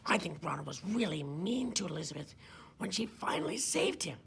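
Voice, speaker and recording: A woman's voice: fairly low pitch, animated, fairly quick, creaky, crowd noise. A woman chatting simply about a book in a casual discussion for children. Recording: noticeable background noise and ambience.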